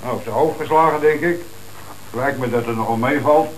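An actor playing a dutiful, matter-of-fact junior police detective in a radio play, with a Netherlands Dutch accent. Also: male voice, mid-range voice, brisk, natural voice, poor audio. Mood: matter-of-fact, alert. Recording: hissy old radio drama recording.

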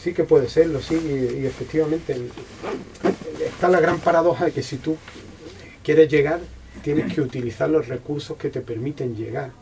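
A man's voice: a little high, moderate pace, creaky. A man giving an unscripted answer as a speaker at a book talk. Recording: distant mic, faint room noise.

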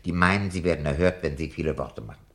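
Elderly bookish cynic for radio play: gravelly low voice, ruminative, theatrical. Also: male voice, fast. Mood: sardonic, declaiming. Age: older. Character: disillusioned elderly bookish man, self-confessed coward. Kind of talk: 1970 German radio drama acting.

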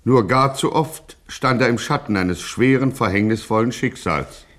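An actor playing a grandiloquent narrator of a gangster satire, speaking in a pompous, mock-solemn way. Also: male voice, mid-range voice, moderate pace, fairly bright tone, lightly acted. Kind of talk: scripted satirical radio narration.

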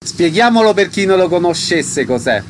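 A man's voice: sits high, very animated, normal speed, a little hiss or hum. A man hosting a radio show, announcing items and putting questions to a guest. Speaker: radio host.

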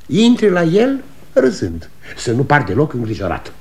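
A man's voice: fairly high pitch, wildly swooping pitch, darkish tone. An actor playing a proud, domineering aging salesman father who lectures his sons, in a 1960s radio drama. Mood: lecturing. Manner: bossy, lecturing, quick to anger. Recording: old studio radio recording.

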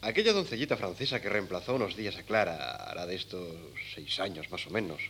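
A worldly young man in a 1970s Spanish radio comedy. His Castilian voice sits low but moves expressively, with a wry, easygoing air. A faint layer of old tape noise lies over it. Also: big pitch swings, a little fast, a bit bright, exaggerated voice. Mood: puzzled.

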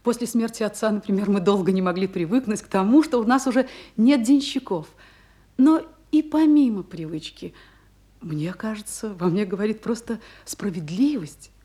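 An actress playing a refined, discontented young married gentlewoman in a classical stage drama, languid and wistful. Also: mid-range voice, moderate pace, natural voice.

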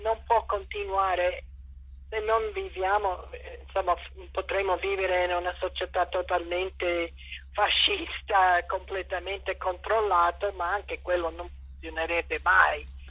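A woman's voice: fairly low pitch, little pitch movement, unhurried, breathy edge, dull audio. A woman answering interview questions, explaining ideas in an unscripted, hesitant way and searching for her words. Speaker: interviewed author and thinker.

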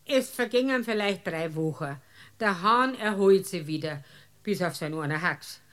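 A woman's voice: on the low side, expressive, measured pace, fairly bright tone. An actress playing an indignant, gossipy Bavarian village woman giving dramatic, aggrieved testimony in a radio comedy. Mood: aggrieved storytelling. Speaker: witness in a courtroom drama. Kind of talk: dialect radio comedy acting.

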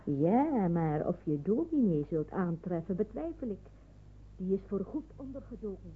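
Muffled, husky, matter-of-fact woman. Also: mid-range voice, very animated, normal speed. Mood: doubtful, subdued. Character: cautious married woman sheltering fugitives in her house. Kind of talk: Dutch radio drama acting. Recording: muffled, phone-like radio play recording.

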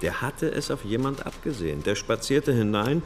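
An actor playing a brooding, intuitive police detective in a radio crime drama, thinking aloud with insistent questions. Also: male voice, mid-range voice, lively intonation, moderate pace, slight background noise, lightly acted. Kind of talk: naturalistic German radio crime drama acting.

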